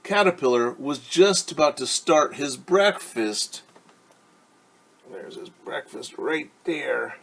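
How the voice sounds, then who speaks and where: Male storytime teacher: unhurried, husky, questioning, explains simply for children. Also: a little high, animated, a bit bright.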